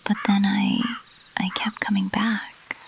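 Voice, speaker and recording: A woman voicing a weary, guarded bat-winged monster girl in a halting, hushed, confessional ASMR roleplay whisper. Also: medium pitch, level tone, gravelly. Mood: hesitant confession.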